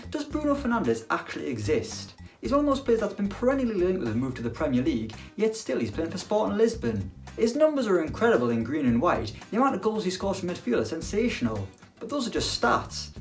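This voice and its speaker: A young man chatting about football with a dry, self-deprecating wit. His voice sits a little high and bounces up and down a lot. Faint music plays behind him. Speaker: football presenter. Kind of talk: casual football chat to camera.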